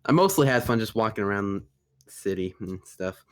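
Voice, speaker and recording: A young American man chatting casually on a podcast, relaxed and quick to laugh. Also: fairly low pitch. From United States. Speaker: podcast co-host. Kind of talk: casual group chat.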